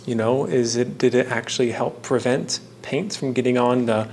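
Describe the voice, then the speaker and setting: A man giving casual, rambling commentary as the host of a hands-on craft video, with a halting delivery that meanders and trails off between thoughts. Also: medium pitch, steady tone, darkish tone, a little hiss or hum. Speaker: host of a painting and fan trivia video.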